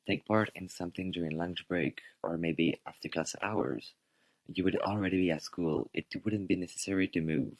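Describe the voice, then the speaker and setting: A young male student with a low voice argues his side in a scripted radio debate. His wording is formal and measured, and the sound is close and dry. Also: dry recording. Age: young adult.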